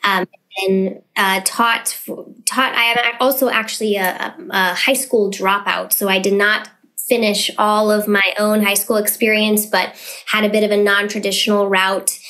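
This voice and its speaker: A woman's voice: mid-range voice, level tone, normal speed, pushed and bright, rough recording. A woman talking as a podcast guest, thoughtful and earnest as she explains her views. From United States. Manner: thoughtful, earnest, with fillers and restarts. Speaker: guest, literacy business founder. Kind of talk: casual podcast interview.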